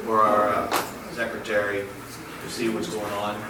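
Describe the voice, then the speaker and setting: A man, a council official, answering a resident in brisk, reassuring replies at a public meeting. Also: on the low side, monotone. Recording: echoey chamber, far from mic, loud noise.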